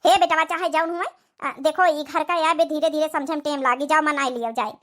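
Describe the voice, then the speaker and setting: A woman's voice: sits high, fairly even intonation, a little fast, fairly bright tone. A woman voicing a fretful, peacemaking village mother-in-law in a comedy cartoon, coaxing and rustic, with an Awadhi accent. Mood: placating.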